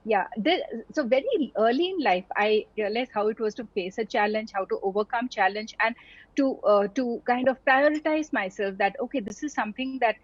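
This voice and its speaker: Middle-aged indian woman doctor, kinda high voice, earnest, lots of uhs, dry dull audio. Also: moderate pace, no room sound. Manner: earnest, many fillers and restarts. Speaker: doctor, interview guest. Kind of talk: reflective interview conversation. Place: online town hall talk.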